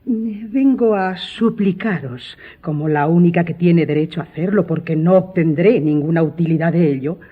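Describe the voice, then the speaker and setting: An actress playing a scheming aristocratic stepmother in a Spanish-language radio drama, speaking in a formal, measured, pleading way. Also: lowish voice, slightly rough. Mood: solemn pleading. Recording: muffled phone-like audio, music behind.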